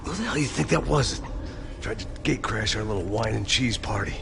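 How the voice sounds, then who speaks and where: An actor playing a world-weary middle-aged American ex-cop, bitter, sardonic and deadpan, in a hardboiled noir game. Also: male voice, on the low side, normal speed, gravelly, noisy background. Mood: weary, wry. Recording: music behind the voice.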